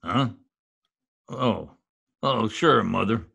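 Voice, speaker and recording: Casting: male actor as gangster boss for vintage radio drama, gravel-throated, slow-spoken, curt and surly. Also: on the low side, lively intonation, a bit bright, slight background noise, exaggerated voice. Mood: puzzled, obliging. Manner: curt, plodding. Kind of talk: 1940s radio gangster acting.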